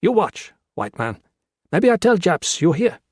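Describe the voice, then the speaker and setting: A man's voice: high voice, big pitch swings, unhurried, dry recording, clean studio sound, pitched above the performer's own voice, heavily acted. A man voicing a menacing, leering male guerrilla fighter who speaks in clipped, broken English.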